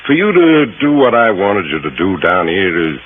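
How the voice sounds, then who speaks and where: Hissy tinny old radio, uneasy American company man, hesitant, evasive, lowish swooping voice. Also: sing-song, moderate pace. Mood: evasive, flustered. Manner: hesitant, roundabout. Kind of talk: 1960s American radio acting.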